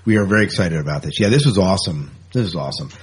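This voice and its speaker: A man with a low, husky voice hosts a casual sci-fi podcast. He talks conversationally and with enthusiasm about genre news. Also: animated, rapid-fire. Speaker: podcast host.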